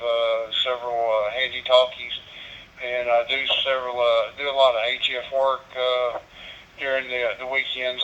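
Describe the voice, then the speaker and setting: Male radio hobbyist, folksy and halting, bright tone through muffled digital transmission. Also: mid-range voice. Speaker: amateur radio operator. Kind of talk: casual ham radio chat. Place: over a radio link. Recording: dull, lo-fi digital radio audio.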